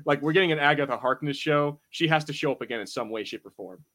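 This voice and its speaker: A man's voice: a little high, fast, fairly bright tone. A man hosting a podcast in a casual, informal, slangy chat style. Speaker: podcast host.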